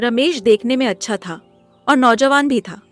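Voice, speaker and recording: A woman's voice: a little high, moderate pace, faint music behind. A woman narrating a scripted moral story as a storybook narrator.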